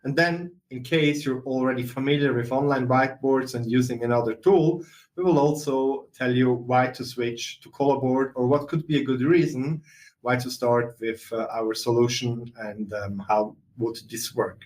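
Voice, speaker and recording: A middle-aged man with a Swiss accent, presenting a software webinar in a steady explanatory way with some fillers. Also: mid-range voice, normal speed, low-quality recording. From Switzerland. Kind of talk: webinar walkthrough.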